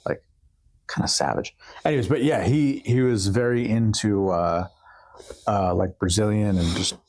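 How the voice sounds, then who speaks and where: A man's voice: fairly low pitch, moderate pace, a little mellow. A man co-hosting a podcast, chatting casually with rambling asides and frequent swearing. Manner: laid-back, swears freely, rambling asides. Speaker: podcast co-host. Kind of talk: casual banter.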